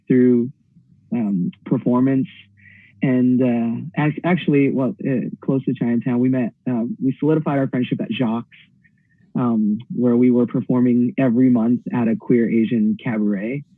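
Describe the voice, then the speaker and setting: A man's voice: medium pitch, dry recording. A man from Hawaii, a performer, introducing himself remotely in an upbeat, enthusiastic way with many fillers. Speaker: poet and performer. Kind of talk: panel self-introduction. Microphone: dialing in remotely. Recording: tinny phone-like line.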